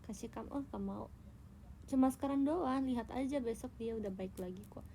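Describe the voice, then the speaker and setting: A woman's voice: a little high, a little fast, vocal fry, dark tone, slight background noise. A young Indonesian woman chatting casually and teasingly with her viewers on a phone livestream. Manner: playful, teasing, tells tall tales. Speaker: idol livestreamer.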